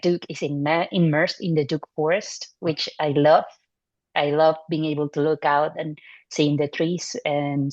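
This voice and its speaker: A woman in her thirties from Venezuela, a neurology resident, answering interview questions in a relaxed, thoughtful way with fillers and restarts. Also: deep voice, no echo. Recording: muffled dry audio.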